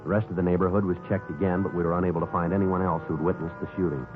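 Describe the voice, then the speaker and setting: A man playing a terse, methodical police detective in a 1950s radio drama, clipped and deadpan as he questions and narrates. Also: deep voice, very fast, phone-quality sound, music in the background, close to an everyday voice. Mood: dry, factual. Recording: thin 1950s radio recording.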